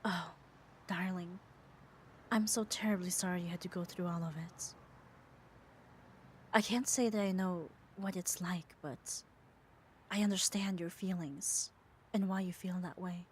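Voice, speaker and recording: A woman's voice: on the low side, steady tone, a little slow, pauses often, darkish tone, a little hiss or hum, lightly acted. A woman playing a muscular, possessive yet caring yandere in an ASMR roleplay, speaking with coaxing, tender firmness. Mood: tender, apologetic. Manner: coaxing, firm, tender reassurance. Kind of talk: ASMR roleplay audio drama.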